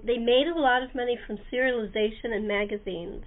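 A woman hosting a casual interview, chatting in tentative, halting phrases. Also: a little high, steady tone. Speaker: interview host. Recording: narrow-band phone-like radio line.

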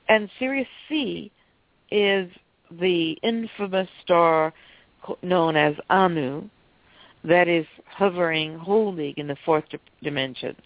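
A woman's voice: on the low side, vocal fry, no room sound. A woman guest with a stuffy cold, earnestly explaining her views as a psychic and author over a phone line. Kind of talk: phone interview, explanatory talk.

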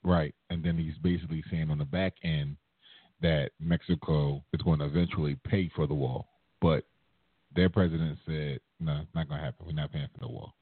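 A man's voice: very deep voice, steady tone, fairly quick, husky, dry recording. A man co-hosting a casual talk show, easygoing and hesitant with filler words, on a tinny, dry recording. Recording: tinny phone-like, dry sound.

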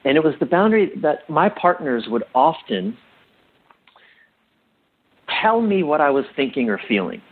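A middle-aged man speaking reflectively as an interview guest, with a husky voice, often halting and correcting himself with emphasis. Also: on the high side, big pitch swings, phone-quality sound. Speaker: interview guest, relationship coach.

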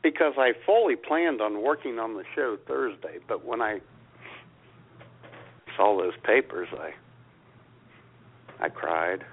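A man's voice: lowish voice, lively intonation, slow pace, creaky, long pauses, slightly rough, phone-quality sound. A man co-hosting a comedy radio show in casual, bantering conversation.

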